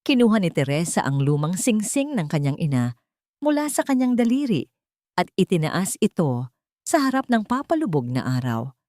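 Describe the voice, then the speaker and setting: A woman's voice: on the low side, big pitch swings, moderate pace, mellow, no room sound, clean studio sound. A woman with a Filipino accent narrating a dramatic story from a script. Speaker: audiobook narrator.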